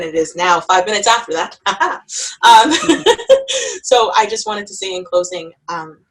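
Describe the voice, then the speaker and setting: A woman's voice: lowish voice, fairly bright tone, rough recording. A woman moderating a filmmaker Q&A as festival programmer, chatty and appreciative, with many fillers. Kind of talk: moderating a recorded Q&A.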